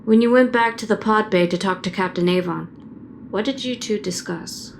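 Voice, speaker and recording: A woman's voice: medium pitch, normal speed, vocal fry, a little hiss or hum, lightly acted. A woman playing an interviewer who puts probing questions to a soldier in an audio drama. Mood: inquiring.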